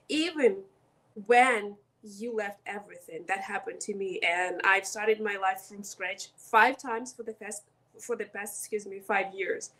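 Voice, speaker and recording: A woman's voice: mid-range voice, a bit bright, poor audio. A woman from Ukraine with a Ukrainian accent, speaking as a podcast guest in an earnest, emphatic way. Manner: earnest and emphatic, stresses words one at a time. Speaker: guest, coach. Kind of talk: podcast interview.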